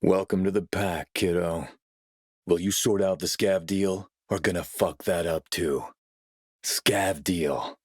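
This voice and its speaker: A man playing a ruthless, middle-aged militia warlord in a video game, with a rough husky voice and a commanding, threatening manner. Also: low voice, steady tone, slow pace, dry recording, studio quality, full character voice. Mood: stern, grudging approval. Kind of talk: scripted video game character lines.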